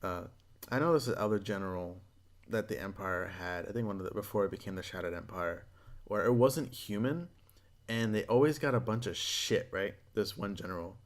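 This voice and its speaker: A man hosting a casual podcast, retelling stories in a rambling, profane, animated way. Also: lowish voice, fairly quick. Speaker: podcast host.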